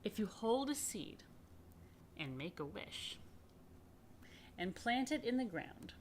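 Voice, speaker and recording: A woman reading a picture book aloud to children, expressively and unhurriedly, with dramatic pauses and chatty asides. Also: medium pitch, big pitch swings, a little mellow, a little hiss or hum. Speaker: rabbi reading a children's story. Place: indoors.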